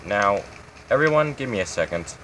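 Man, channel host, casual game tour, rambling with fillers, fairly low voice, faint background hiss. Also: animated, normal speed, fairly bright tone, low-quality recording. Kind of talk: casual build tour commentary.

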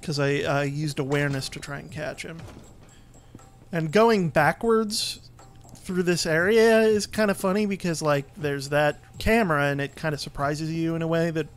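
Male game commentator, slightly high voice, casual and explanatory, pauses mid-thought. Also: fairly even intonation, slight background noise.

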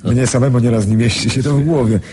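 An actor playing a composed, courteous middle-aged gentleman gambler in a radio drama, speaking in a measured way. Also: mid-range voice, steady tone, background noise, close to an everyday voice. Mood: modest, sincere. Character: self-assured older gentleman gambler trusting his talisman.

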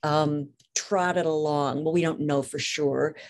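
Woman, somewhat low pitch, interviewed author, careful phrasing, frequent qualifications, conversational. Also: steady tone, slow pace.

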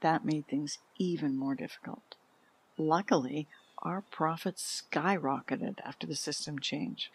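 A woman playing a frail elderly mother who recalls a hard past frankly and deliberately in an audio drama. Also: sits low, slightly rough, exaggerated voice. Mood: reflective. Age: older.

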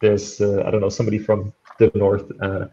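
A young German man speaks English with a faint Irish lilt, rambling along with lots of fillers. His voice sits a little low. The call audio is dull and lo-fi. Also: completely flat delivery, fairly quick, mellow. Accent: German, with a slight Irish tinge. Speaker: meetup participant. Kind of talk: casual video-call chat. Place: bright room at home with shelves, indoors. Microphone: headphones, laptop on a video call.

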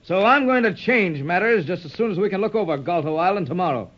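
A muffled vintage 1930s radio recording carries a hearty sea captain. His voice sits a little high for a man, and he talks in emphatic, salty sailor phrases with steady authority. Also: fast. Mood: hearty, resolute. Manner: salty nautical talk, emphatic. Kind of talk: 1930s radio serial acting.

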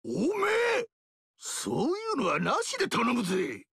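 A man voicing a boisterous, proud barbarian king with a gravelly, blustering, shouting delivery in video game dialogue. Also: very high voice, huge pitch swings, a little slow, dry recording, clean studio sound, exaggerated voice. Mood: flustered. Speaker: warlord character.

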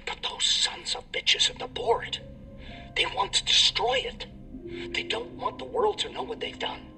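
An actor playing a desperate middle-aged scientist recording an urgent, bitter confession for a video game. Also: male voice, extremely high pitch, animated, a little slow, distant mic, over music, exaggerated voice. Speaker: research chief recording a message. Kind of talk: video game cutscene acting.

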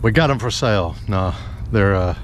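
A middle-aged man, talking casually and haltingly as he walks outdoors with a handheld camera. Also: lowish voice. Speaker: lawn care worker vlogging. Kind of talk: casual rambling walk-and-talk vlog. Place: outdoors in a hotel parking lot and slopes. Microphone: action camera held at arm's length. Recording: noisy outdoor recording with traffic.

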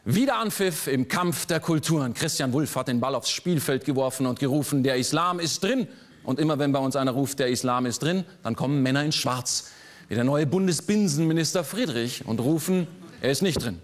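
A man in his forties performing a political cabaret monologue on a theater stage, sardonic, landing dry punchlines with sudden jumps in pitch. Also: fairly quick. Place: large theater stage before a live audience.

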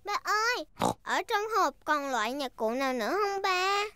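A woman's voice: high-pitched, lively intonation, moderate pace, sharp tone, no room sound. A woman voicing a cheerful little girl piglet in a dubbed cartoon. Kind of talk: cartoon dubbing.

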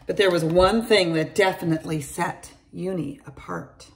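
A middle-aged woman reading a children's picture book aloud to her class, in an animated storytelling manner, recorded on a close phone camera in a large room at home. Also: lowish voice, moderate pace. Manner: animated storytelling, addresses children by name, gravelly edge. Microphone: phone or laptop camera at close range.

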